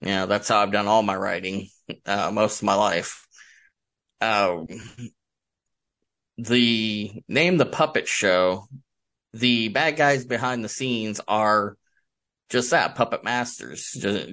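A middle-aged man answering interview questions as a guest author, halting and thinking aloud as he talks. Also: on the low side, a bit bright.

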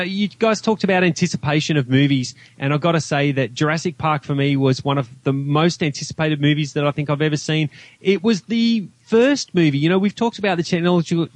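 A man's voice: on the high side, fairly quick, dry recording. An Australian man talking casually about films as a podcast guest, with an emphatic, opinionated, slangy manner. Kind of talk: casual film discussion.

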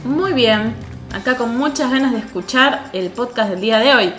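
Argentine woman co-hosting a podcast, chatty, voice a bit high, roomy echo. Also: moderate pace, fairly bright tone, soft background music. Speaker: podcast co-host. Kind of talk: casual podcast chat.

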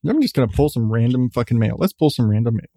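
A middle-aged man hosting his podcast with casual, profane rambling and frequent laughs in a calm voice. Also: mid-range voice, fast talker, no room sound, studio quality. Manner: profane, candid, laughs often. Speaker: podcast host. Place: seated at home on a creaky chair.

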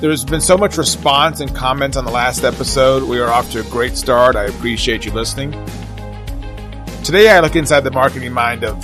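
Middle aged guy hosting an interview podcast, chatty, normal pitch, bit of music under it. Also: fairly quick. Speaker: podcast host. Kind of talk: conversational interviewing with scripted intro.

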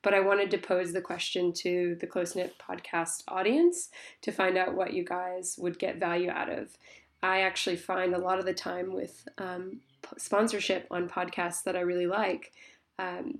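A woman's voice: fairly low pitch, level tone, normal speed. A woman who hosts a podcast, sounding congested and talking to her listeners in a chatty, apologetic way full of ums. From Tasmania, Australia. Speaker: podcast host. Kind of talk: casual spoken update to listeners. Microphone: phone.

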